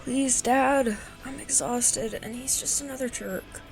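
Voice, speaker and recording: A fed up daughter in an audio drama, sardonic, pleading, croaky voice, faint music behind. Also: female voice, high voice, fairly even intonation, measured pace, a little mellow, low-quality recording. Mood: pleading, tired. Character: weary, defiant daughter travelling with her father.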